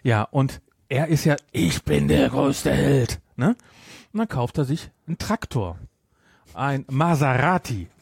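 A man's voice: medium pitch, animated, measured pace, creaky, a little mellow, breathy edge, no echo, clean studio sound. A man hosting a podcast in a casual, rambling chat, often interrupting himself. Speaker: podcast host.

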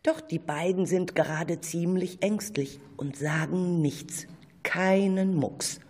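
A narrator telling a children's radio play, addressing listeners conversationally in a husky voice. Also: mid-range voice, takes it slowly.

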